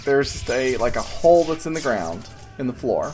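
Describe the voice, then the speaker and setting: A woman's voice: rumbling low, big pitch swings, normal speed, darkish tone, soft background music. A woman narrating as game master of a tabletop game, describing scenes in a rambling way full of restarts and fillers.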